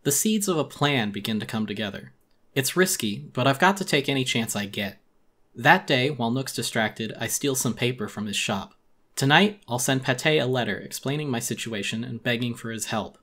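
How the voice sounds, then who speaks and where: A man's voice: mid-range voice, animated, moderate pace, fairly bright tone. A man narrating a scripted first-person story as a dramatic audiobook, in a theatrical, brooding manner.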